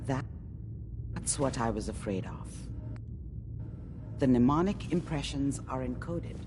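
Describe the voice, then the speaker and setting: An actress playing a brisk, exacting woman scientist in a video game, speaking in precise clinical terms. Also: rumbling low, expressive, noisy background. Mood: focused, concerned. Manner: precise, formal, clinical wording. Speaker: doctor and scientist character.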